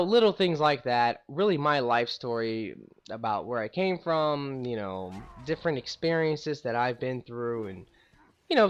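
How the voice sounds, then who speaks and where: A man's voice: mid-range voice, lo-fi sound. A young man from St. Louis, a gaming YouTuber, casually telling stories about his life in a rambling, chatty way. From St. Louis, USA. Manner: rambling, conversational, many fillers. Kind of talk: casual storytelling to camera.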